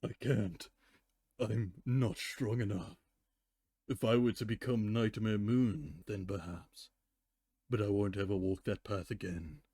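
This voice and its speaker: An ancient, sorrowful pony mare, as a man reads her in a storybook style. The delivery is slow and deliberate, solemn and pleading, in a close, dry recording. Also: lowish voice, dark tone, breathy edge, no room sound. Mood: weary pleading. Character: weary, pleading immortal female pony, aged and sorrowful. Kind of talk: dramatic fan fiction reading.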